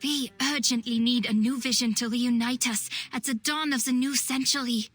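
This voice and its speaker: An earnest, idealistic young doctor over music. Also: female voice, on the high side, steady tone, moderate pace, fairly bright tone. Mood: fervent. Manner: earnest, eloquent, persuasive. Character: idealistic, impassioned young woman doctor and revolutionary. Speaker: game voice actress. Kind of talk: dramatic game voice acting, monologue.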